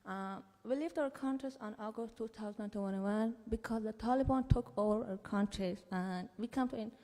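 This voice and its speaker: A teenage student from Afghanistan whose first language is Dari, giving a prepared speech in English carefully and with some hesitation. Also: female voice, a little high, warm tone, big-room sound. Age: teens. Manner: careful, halting delivery with fillers. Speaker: student speaker.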